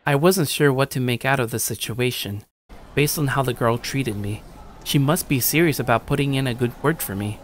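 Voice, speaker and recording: A man's voice: medium pitch, moderate pace, a little hiss or hum, close to an everyday voice. A young man playing a shy but slightly cocky gamer who narrates his day in a casual, musing way, like an anime-style audio drama. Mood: musing, upbeat. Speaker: narrator and lead character. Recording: dry studio voice over music. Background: music.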